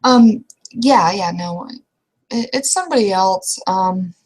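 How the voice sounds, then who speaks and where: A woman's voice: mid-range voice, expressive, slow, breathy edge. A young woman improvising in-character roleplay conversation, speaking casually and hesitantly with frequent restarts. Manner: casual, hesitant, trailing restarts with mild swearing. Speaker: roleplay player.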